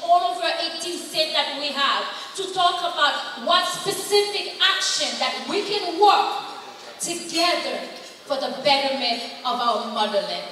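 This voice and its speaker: A woman's voice: sits high, animated, a little slow, sharp tone. A woman hosting a gala and introducing speakers in an upbeat, gracious way. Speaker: event host. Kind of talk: emcee introductions. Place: large echoey hall at a gala. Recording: echoey hall, far off, faint noise.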